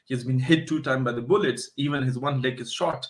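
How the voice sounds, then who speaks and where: A man with an Afghan accent talks as a guest expert in an interview. He explains and tells stories conversationally, stressing his points by repeating phrases. His voice sits at an ordinary male pitch. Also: fairly quick.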